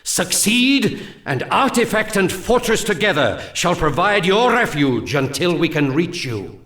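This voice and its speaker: An actor playing a solemn, zealous alien elf war leader in a video game, delivering mission briefings in grandiose, archaic oratory. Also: male voice, high voice, sing-song, a little slow, a bit bright, reverberant, full character voice. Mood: stern, rousing.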